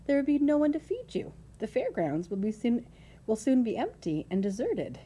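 A wise female spider from a children's story, voiced by a woman reader, with a mellow tone. She is calm and motherly, speaking in measured, articulate phrases with gentle firmness and a lively rise and fall. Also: a little high, breathy edge, voice pushed up. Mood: gently firm. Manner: measured, articulate, matter-of-fact.

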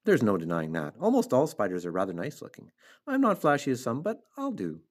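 A man voicing a small, kindly female spider in a storybook, composed, articulate and gently matter-of-fact. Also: medium pitch, wildly swooping pitch, fairly quick. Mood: self-assured. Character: kindly, self-assured female gray spider, small and genteel.

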